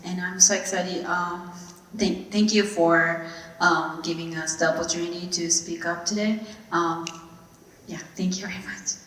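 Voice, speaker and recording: Young woman on a panel, hesitant, bright voice, far off in an echoey hall. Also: on the low side, fairly even intonation, a little slow, a little hiss or hum. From Japan. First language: Japanese. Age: young adult. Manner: hesitant, many fillers and restarts. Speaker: student panelist. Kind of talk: panel discussion. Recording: distant mic in echoey hall.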